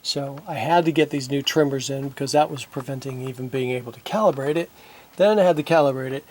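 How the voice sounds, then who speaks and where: A man talking casually through his repair work at a home workbench, thinking aloud and halting with fillers, recorded on a camera microphone. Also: medium pitch, darkish tone. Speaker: hobbyist synth repairer. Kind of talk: casual narration while working. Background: synthesizer being played.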